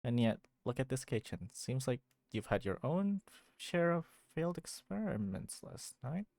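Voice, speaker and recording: A woman's voice: extremely low pitch, big pitch swings, normal speed, creaky, dark tone, no room sound. A woman streaming a video game, commenting and reading dialogue aloud over game music.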